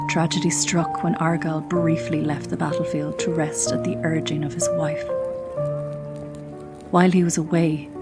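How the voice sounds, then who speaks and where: Low, even-toned woman narrating over loud music. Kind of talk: scripted fantasy audio drama narration.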